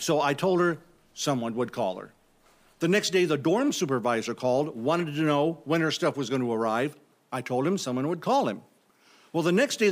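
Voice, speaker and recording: Man playing a stammering, animated, flustered phone clerk. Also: a little high, close to an everyday voice. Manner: stammering, apologetic, nervous. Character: Bernie, flustered, hapless new male phone clerk. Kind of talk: comic audio drama acting.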